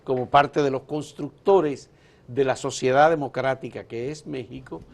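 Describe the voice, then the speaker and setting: A man's voice: mid-range voice, steady tone, normal speed, creaky. A man hosting a political interview, posing long, leading questions and framing remarks.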